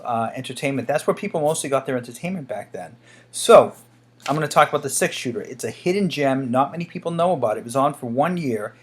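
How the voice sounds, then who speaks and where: A man hosting a casual talk for viewers, speaking as an enthusiastic fan who rambles from point to point. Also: medium pitch. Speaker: host of a channel on radio dramas.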